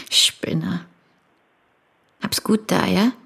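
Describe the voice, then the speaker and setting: Fond teasing partner on a call, radio drama, raspy and slow. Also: female voice, fairly low pitch, a bit bright, heavily acted. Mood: fond, concerned. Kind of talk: radio play acting.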